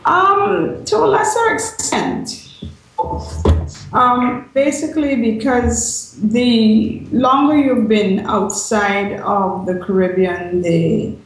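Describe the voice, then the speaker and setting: A woman from Guyana, a lawyer, answering interview questions in a thoughtful way with frequent pauses and ums. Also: a little high, expressive. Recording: very echoey room.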